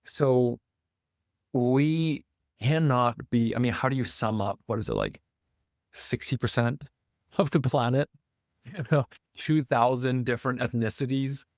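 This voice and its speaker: A man's voice: mid-range voice, unhurried, long pauses, dry recording, studio quality. A man born in Taiwan, hosting a solo podcast in a casual, conversational way with many fillers and restarts. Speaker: podcast host and author.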